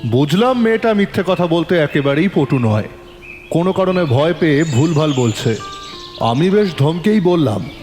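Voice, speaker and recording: A man narrating a detective story in the first person, in a measured, suspenseful storytelling manner. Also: on the high side, lively intonation, darkish tone, in a large hall, faint music behind.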